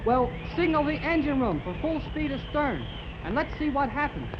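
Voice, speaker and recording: Eager young lad, boyish and folksy, muffled old radio with heavy hiss. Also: male voice, extremely high pitch, very animated, moderate pace. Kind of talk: 1930s radio drama acting.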